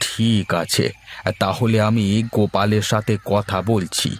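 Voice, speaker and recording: A man's voice: on the low side, little pitch movement, a little slow. A man voicing an easygoing middle-aged village father in a cartoon story, speaking in a conversational, placating way over music. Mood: calm. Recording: music under the voice.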